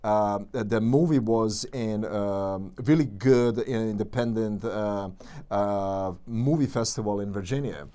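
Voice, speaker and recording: A man's voice: on the low side, a little slow. A man with a Serbian accent, a guest actor chatting casually in an interview, telling stories with lots of fillers and restarts. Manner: animated storytelling, many fillers and restarts.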